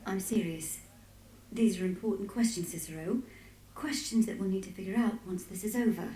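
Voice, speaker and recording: An actress playing a keen, headstrong historian in a video game, with an inquisitive, insistent manner. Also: female voice, medium pitch, normal speed, dark tone, roomy sound, slight background noise, lightly acted. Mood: eager, insistent. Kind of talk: dramatic video game voice acting.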